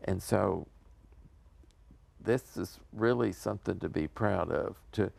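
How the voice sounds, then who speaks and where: An older American man with a low, very creaky voice, giving a casual interview. He talks earnestly and wanders haltingly from thought to thought, in a close, dry recording. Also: a little mellow, no echo. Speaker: interview guest promoting a community theater show.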